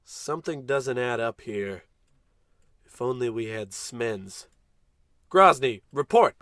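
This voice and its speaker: A man's voice: mid-range voice, expressive, takes it slowly, a bit bright, no room sound. A man playing a pompous, callous American spaceship captain in a comic old-time radio play, bossy and grandiose with dry sarcastic asides. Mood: puzzled, impatient.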